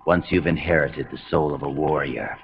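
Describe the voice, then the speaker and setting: An actor playing a cold, commanding rogue officer in a video game, speaking in measured, imperious monologues. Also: male voice, rumbling low. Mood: calm, lecturing. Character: cold, commanding male rogue officer, grandiose engineered super-soldier. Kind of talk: dramatic video game voice acting. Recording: dull compressed game audio under loud music.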